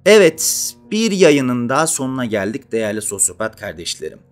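A man of about thirty hosts an educational video essay, speaking emphatically and deliberately, with rhetorical questions to the listener. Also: medium pitch, very animated. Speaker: host of an educational channel. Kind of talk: explanatory video essay to camera. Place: small indoor studio with dark curtain backdrop. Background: faint background music.

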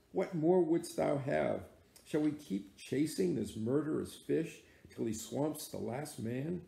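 A man's voice: medium pitch, creaky, mellow, roomy sound. A man voicing a devout, pleading first mate who speaks in earnest archaic phrases.

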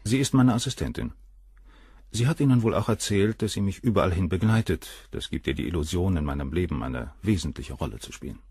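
A cold, cynical famous surgeon. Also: male voice, deep voice, expressive, a little fast, darkish tone, breathy edge, no room sound, clean studio sound, exaggerated voice. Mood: cool. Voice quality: cool, cynical, dismissive. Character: cold arrogant famous male surgeon, middle-aged. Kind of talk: radio play acting.

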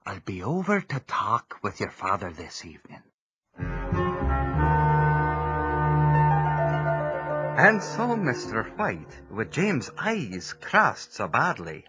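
An actor playing a stern but kindly nineteenth-century schoolmaster in an audio drama, formal and deliberate. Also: male voice, lowish voice, wildly swooping pitch, pushed and bright, faint music behind, exaggerated voice. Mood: grave regret.

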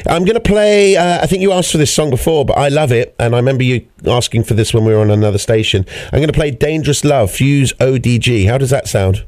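A man's voice: medium pitch, quick pace, hardly any pauses, darkish tone, no echo. A man hosting a casual radio interview, chatty and given to repeating himself. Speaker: radio show host.

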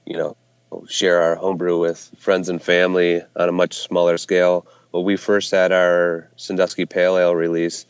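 Low-voiced man, flat and smooth, halting with fillers, dry and slightly dull sound. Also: dry recording. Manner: hesitant, many fillers and restarts. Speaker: brewery co-founder, guest. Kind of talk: casual interview answers. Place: Ohio hometown brewery.

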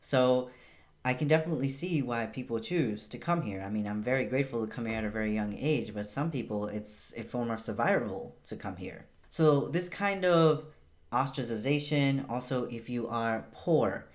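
A man's voice: mid-range voice, moderate pace. A young man born in Bangladesh, a physician, giving a casual and earnest health explainer from a small room at home. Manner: casual, chatty and earnest, with emphatic repeats. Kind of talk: educational health vlog.

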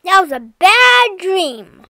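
A child playing an excitable young boy in a dramatic storybook reading. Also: very high voice, takes it slowly, no echo, studio quality, heavily acted. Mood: excited, wondering. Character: Buzz, excitable young boy with pet fly.

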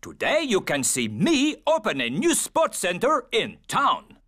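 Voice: medium pitch, huge pitch swings, measured pace, background noise. An actor voicing a jolly potato who presents a fitness show in a children's cartoon.

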